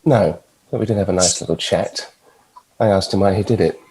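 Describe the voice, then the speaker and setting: An actor with a British accent playing a suave, mischievous immortal devil, teasing and flippant, at a TV drama table read. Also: male voice, sits low, a little fast, a little mellow, slightly rough, rough recording. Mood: smooth, matter-of-fact.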